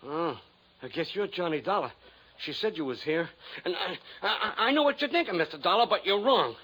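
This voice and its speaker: An actor playing a nervous, pleading old small-time ex-con who stammers in rough, uneducated speech on 1950s radio. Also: male voice, sits high, animated, moderate pace, pushed and bright, full character voice, rough recording. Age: older. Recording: vintage radio drama recording.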